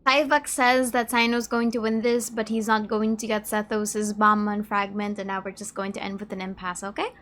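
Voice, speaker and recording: A woman's voice: mid-range voice, flat delivery, normal speed, few pauses, fairly bright tone, a little hiss or hum. A woman giving casual, playful commentary as she plays a video game, reacting in slangy remarks. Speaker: game streamer. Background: game music.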